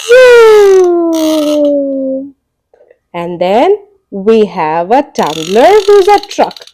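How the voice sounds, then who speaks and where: High, lively woman telling kids a story. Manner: playful, makes vehicle sound effects; asks the listener questions. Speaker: children's storyteller.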